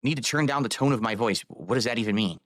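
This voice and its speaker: A man's voice: mid-range voice, fast, dry recording, studio quality. A man live-streaming, rambling while he fiddles with his microphone and audio settings.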